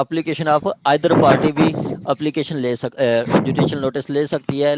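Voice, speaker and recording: A man's voice: on the high side, no echo. Pakistani man teaching law, dry close sound. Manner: explanatory, repeats points, mixes in English legal terms. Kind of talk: informal lecture explaining statute clauses to a class.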